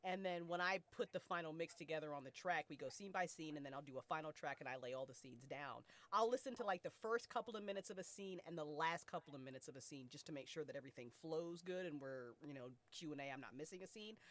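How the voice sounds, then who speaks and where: An American woman hosting a podcast listen event, chatting casually in an animated, effusive, sweary way. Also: low voice. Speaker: podcast producer and host. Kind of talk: casual group chat at a fan listen event.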